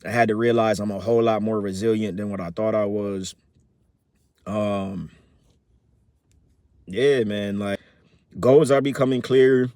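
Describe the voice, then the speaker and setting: Relaxed man in his thirties, rambling. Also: fairly low pitch, unhurried, long pauses, clean tone, dry recording. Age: 30s. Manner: rambling, many fillers and restarts; relaxed, upbeat. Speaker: vlogger. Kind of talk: casual personal update to camera.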